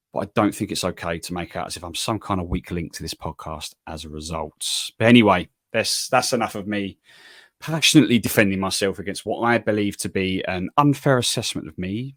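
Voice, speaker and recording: A man with an English accent hosting a football podcast, talking calmly and evenly in a self-deprecating way. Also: low voice, fairly quick, dry recording, studio quality. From England. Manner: calm, even, self-deprecating. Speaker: podcast host. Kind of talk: solo podcast monologue and interview.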